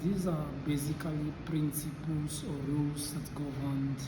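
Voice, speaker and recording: A man's voice: a little high, fairly even intonation, measured pace. Brief: young man from Sierra Leone, thoughtful, echoey noisy room. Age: young adult. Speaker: student interviewee. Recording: echoey room with clear background noise.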